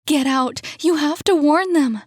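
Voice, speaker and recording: A woman voicing a desperate trapped young woman who urgently pleads and shouts through a door. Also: high voice, unhurried, no echo, clean studio sound, pitched above the performer's own voice. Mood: frantic.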